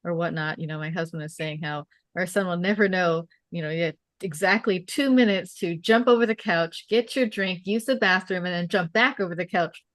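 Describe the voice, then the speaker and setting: A woman chatting casually in a group, explaining things in a measured way. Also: lowish voice, a bit bright, dry recording.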